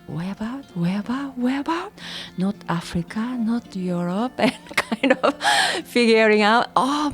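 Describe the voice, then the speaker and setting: A Japanese woman, a native Japanese speaker, tells her migration story in simple, halting English and laughs often. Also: mid-range voice. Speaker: interviewee. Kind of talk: personal story, monologue.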